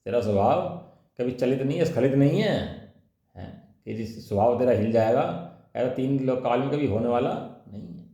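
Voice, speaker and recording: A man's voice: medium pitch, animated, normal speed, warm tone. A man giving a colloquial religious discourse, explaining scripture with rhetorical questions and repeated key phrases. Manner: colloquial teaching with rhetorical questions and tag words. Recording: echoey, reverberant room sound.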